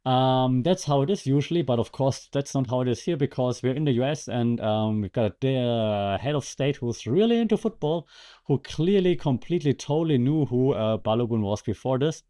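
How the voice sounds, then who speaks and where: A man's voice: medium pitch, animated, moderate pace, dark tone. A man hosting a podcast with casual, conversational commentary and wry, sarcastic asides. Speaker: podcast host and media lecturer.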